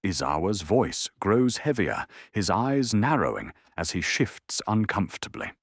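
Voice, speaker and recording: A man narrates a scripted drama in a low, husky voice. His intonation is lively and dramatic, rising and falling as he sets each scene. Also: unhurried, dry recording.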